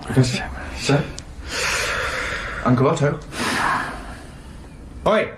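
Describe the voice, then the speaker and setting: An actor playing a nervous, lovestruck young man in a comic radio drama, timid yet excitable. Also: mid-range voice, slow pace. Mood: timid, inquisitive. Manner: jittery, excitable. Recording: noisy radio drama broadcast.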